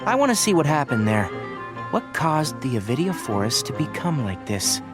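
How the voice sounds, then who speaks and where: An actor playing a calm, scholarly young male researcher in a video game, articulate and measured as he explains. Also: fairly high pitch, loud music behind the voice. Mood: matter-of-fact, firm.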